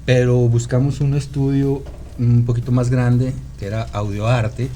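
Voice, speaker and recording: A man with a Guadalajara Mexican accent, a musician guest telling stories in casual conversation, halting and meandering, often seeking agreement. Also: mid-range voice, level tone, mellow, slight background noise. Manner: halting, meandering storyteller who seeks confirmation with "¿no?". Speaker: podcast guest, rock musician.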